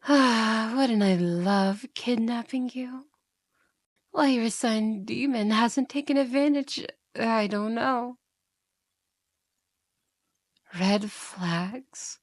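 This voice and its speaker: A woman's voice: a little high, creaky, a little mellow, dry recording, exaggerated voice. A woman playing a seductive, mocking yandere demon in an ASMR roleplay, coaxing and theatrical, often slipping into whispers. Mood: teasing, flirtatious.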